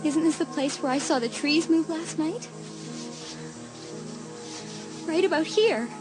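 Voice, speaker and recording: A woman's voice: high voice, moderate pace, music in the background, close to an everyday voice. An actress playing an uneasy teenage girl in a dramatised audiobook, with an anxious, brooding manner. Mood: frightened calling.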